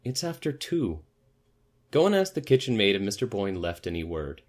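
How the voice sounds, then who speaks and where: A man voicing a brisk, puzzled lady of the house who speaks in curt questions and orders, in an audiobook. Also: lowish voice, big pitch swings. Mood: impatient. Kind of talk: audiobook character voice.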